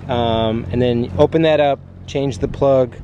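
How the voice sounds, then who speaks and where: A man's voice: medium pitch, fairly even intonation, a little slow, mellow, muffled recording. A man casually explaining and coaching as a vlog host, talking to a handheld camera. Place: outdoors on a sailboat deck. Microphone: handheld camera at arm's length. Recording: clear outdoor boat background noise.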